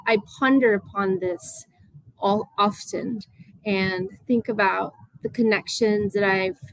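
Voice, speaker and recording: A woman from Malaysia presents as a guest panelist in an online art dialogue. She talks over a video call in a hesitant way, often restarting and filling pauses. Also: mid-range voice, no echo, low-quality recording. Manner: hesitant, many fillers and restarts.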